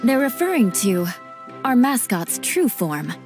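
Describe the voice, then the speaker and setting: A woman's voice: on the high side, sing-song, measured pace, a little mellow, faint music behind. A woman playing a composed, wry female knight in a video game cutscene, with a dry, knowing manner.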